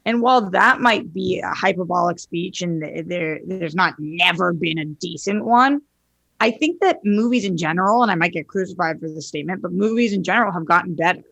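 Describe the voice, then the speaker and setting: Woman, creaky mid-pitched voice, dry close sound, informal and sweary, opinionated podcast talk. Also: animated, fairly quick, fairly bright tone, no room sound. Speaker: podcast panelist. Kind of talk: casual opinionated discussion.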